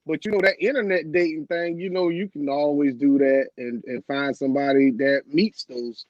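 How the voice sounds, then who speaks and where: A man's voice: on the high side, fairly even intonation, moderate pace, no echo, dull audio. A man chatting casually on a group show, laid-back and ribbing the others.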